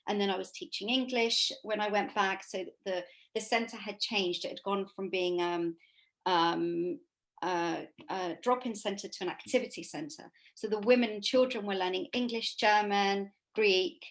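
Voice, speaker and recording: Halting, reflective woman answering interview questions. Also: mid-range voice, steady tone, fairly bright tone. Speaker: author being interviewed.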